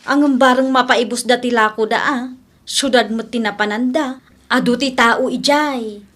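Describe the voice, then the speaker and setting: A chatty village busybody with a very high voice and an Ilocano Filipino accent, acted by a woman. She is curious and gossipy, in the style of a radio comedy drama. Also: steady tone, moderate pace, a bit bright.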